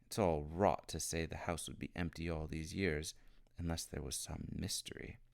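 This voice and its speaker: A man's voice: rumbling low, fairly even intonation, normal speed, creaky, no room sound, clean studio sound. A man voicing an eager, bossy and imaginative schoolboy in a storybook reading. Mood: excited, scornful.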